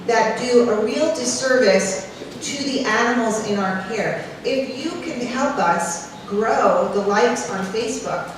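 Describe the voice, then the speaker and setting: A woman shelter official answering public comments at a board meeting, earnest and pleading, with quick restarts. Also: fairly high pitch. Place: large meeting hall. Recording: echoey hall from far off, background noise.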